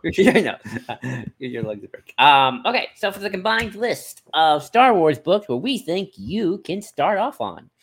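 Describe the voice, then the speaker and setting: A man's voice: high voice, big pitch swings, measured pace, fairly bright tone, husky. Informal man chatting, stop-start with asides. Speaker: podcast co-host. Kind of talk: casual chat, discussing book picks.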